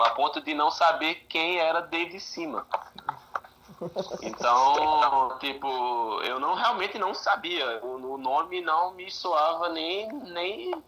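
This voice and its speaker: A man's voice: fairly high pitch, pushed and bright, rough recording. A Brazilian man chatting casually, telling stories in a joking, slangy way and acting out the dialogue. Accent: Brazilian Portuguese. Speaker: podcast guest. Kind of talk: animated casual storytelling among friends.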